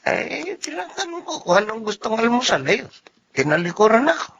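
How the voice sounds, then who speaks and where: A man's voice: very high voice, sing-song, moderate pace, gravelly, a bit bright, husky, heavily acted. A man acting a small, caring dwarf father, meek but stubborn, in a Filipino radio drama. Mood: gentle, fatherly. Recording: dull, muffled audio.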